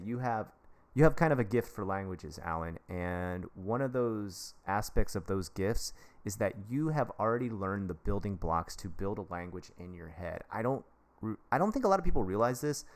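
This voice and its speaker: An American man, an English teacher, giving casual advice on a live stream in a rambling, jokey, chummy way, talking straight to his viewers. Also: lowish voice. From United States. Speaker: livestream advice host.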